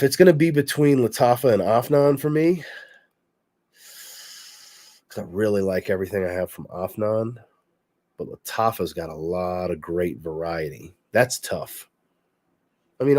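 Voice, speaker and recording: Stuffy-nosed guy, lowish voice, laid-back livestream chat, dry close mic. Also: no echo. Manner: relaxed, reads chat questions aloud and answers conversationally. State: congested. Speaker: fragrance reviewer answering live chat.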